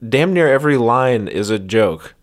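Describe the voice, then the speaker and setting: Guy podcast cohost, jokey casual banter, middle pitch voice. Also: animated, normal speed. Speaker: podcast co-host.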